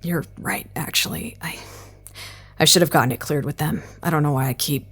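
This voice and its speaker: A woman's voice: deep voice, moderate pace, fairly bright tone, husky. A woman playing a headstrong, stressed researcher in an audio drama, sarcastic, hesitant and exasperated. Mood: subdued. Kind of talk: naturalistic audio drama acting.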